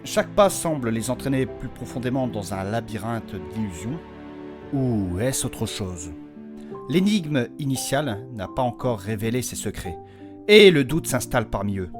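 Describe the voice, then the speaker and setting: A man's voice: medium pitch, very animated, normal speed. A man reading scripted, dramatic narration as the storyteller of a fantasy audio fiction. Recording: music with guitar behind the narration.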